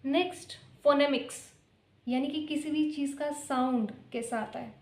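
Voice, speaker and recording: A woman's voice: high-pitched, fairly even intonation, moderate pace, a little mellow, echoey room. A young woman teaching an exam-prep lecture, explaining each point through lists of examples and switching between two languages mid-sentence. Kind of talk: exam-prep lecture mixing Hindi and English.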